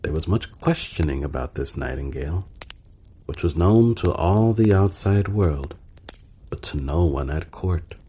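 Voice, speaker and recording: A man narrating a bedtime storybook in a calm, soothing and unhurried manner. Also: deep voice, husky.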